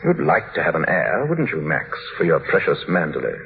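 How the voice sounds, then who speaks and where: An actor in an old radio drama voicing a cruel, mocking wife in a taunting, sardonic way, on a muffled vintage radio recording. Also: male voice, a bass voice, sing-song, moderate pace, slight background noise, full character voice. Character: mocking, cruel wife quoted by her husband.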